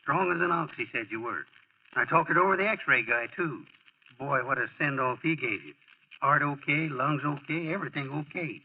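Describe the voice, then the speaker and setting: A muffled vintage radio recording carries the husky voice of a man playing a loyal, protective buddy. He talks in folksy slang with mid-range pitch, in the manner of 1940s radio acting. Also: normal speed, lightly acted. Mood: breezy reassurance. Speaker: actor in a radio drama.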